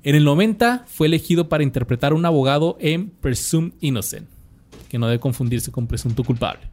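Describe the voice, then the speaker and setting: A young man with a Mexican accent, his voice sitting a bit high, talking through a life story on a podcast. He reads from notes in a relaxed, slangy way, stumbling and restarting now and then. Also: fairly quick. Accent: Mexican Spanish. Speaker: podcast host. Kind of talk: casual podcast chat, reading a bio.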